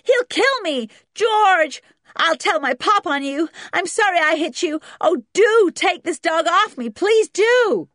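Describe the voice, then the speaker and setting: A woman voicing a spoiled, bossy young boy in a storybook, whining, demanding and shouting. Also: very high-pitched, animated, takes it slowly, no echo, studio quality, pitched above the performer's own voice, exaggerated voice. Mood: frantic pleading.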